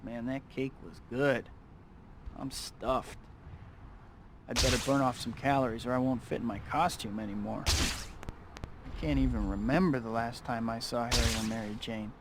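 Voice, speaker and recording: A man's voice: mid-range voice, steady tone, moderate pace, heavy background noise, natural voice. A young American man playing a wisecracking, well-meaning superhero in a video game, with a boyish, self-mocking manner. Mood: breezy. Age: young adult. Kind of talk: video game cutscene acting.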